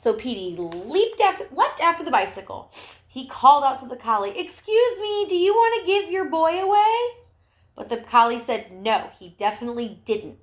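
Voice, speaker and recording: A woman reads a picture book aloud for children. She narrates at a mid pitch and steps out of the story to talk directly to young listeners.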